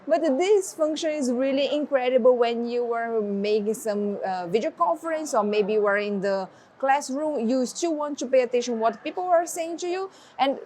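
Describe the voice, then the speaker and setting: A woman's voice: high voice, a little hiss or hum. A woman whose first language is Portuguese, casually demonstrating a tablet's features step by step. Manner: relaxed, explaining step by step, non-native phrasing. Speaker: tech reviewer. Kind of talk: casual product demo.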